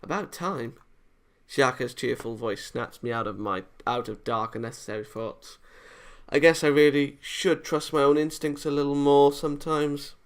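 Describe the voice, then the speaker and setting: A man reading visual novel narration aloud as a let's player, in an expressive, dramatised way. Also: medium pitch, moderate pace, smooth. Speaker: video game let's player.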